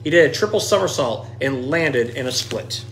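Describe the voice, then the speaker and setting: A man reading a children's picture book aloud in an animated, expressive storytelling style. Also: medium pitch, normal speed, slight background noise. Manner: expressive, dramatic dialogue readings.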